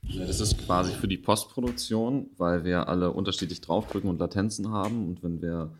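Low-voiced man explaining over noise. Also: fairly quick. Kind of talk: casual technical explanation. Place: home office on a conference call. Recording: noisy home conference-call audio, clicks.

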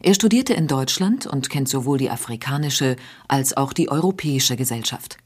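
A woman reading scripted narration in a radio feature in an even, informative manner. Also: a bass voice, moderate pace, husky.

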